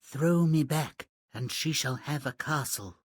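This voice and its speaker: A man's voice: fairly high pitch, breathy edge, no echo, clean studio sound. A man voicing a solemn, portentous magic fish that grants wishes in a fairy-tale game. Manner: slow, portentous.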